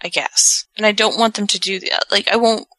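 Bright, animated woman co-host. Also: medium pitch, fairly quick, dry recording. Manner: animated, opinionated, lots of fillers. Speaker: podcast co-host. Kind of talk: casual wrestling chat.

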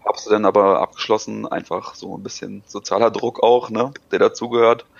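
A man in his thirties speaks with a low, gravelly voice, pausing and filling gaps as he answers questions. The recording sounds dull and lo-fi, completely dry with no room around it. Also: fairly even intonation. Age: 30s. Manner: halting, many filler sounds. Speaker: podcast guest. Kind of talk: casual interview answers.

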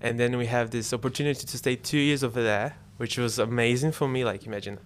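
A young man from Brazil with a Brazilian Portuguese accent, a university student speaking English as a second language, telling his faith story in a relaxed, halting way. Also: mid-range voice, smooth. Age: young adult. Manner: relaxed, halting non-native phrasing. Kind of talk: casual faith testimony chat.

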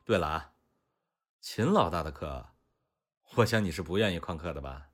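A man's voice: low voice, lively intonation, normal speed. A man voicing a laid-back, teasing young college roommate in a campus audio drama. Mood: wry, caring.

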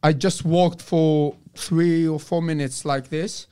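A man telling a story in casual chat in a studio, halting and repeating his words, swearing casually. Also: on the high side, steady tone, mellow, clear, resonant tone, no echo. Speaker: podcast guest telling a story.

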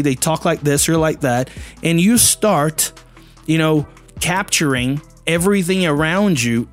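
Brazilian man, native Portuguese, raised-pitch voice, chatty English lesson with faint music underneath. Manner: chatty, fills with "you know" and "man", acts out learners' voices. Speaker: English teacher. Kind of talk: casual video lesson.

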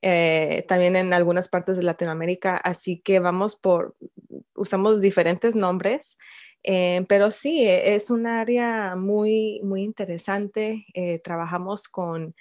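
A woman from Chicago, a bilingual speech-language therapist, answering interview questions in an unhurried way with many fillers and small laughs. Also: fairly low pitch, fairly even intonation, darkish tone. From Chicago, United States.

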